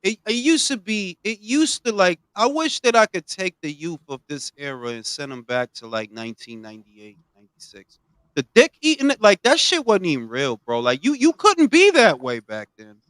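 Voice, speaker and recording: Ranting profane man, wildly swinging pitch. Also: huge pitch swings, normal speed, dry recording, low-quality recording. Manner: ranting, profane, swings from low mutters to loud outbursts. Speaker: podcast host. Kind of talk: heated boxing talk.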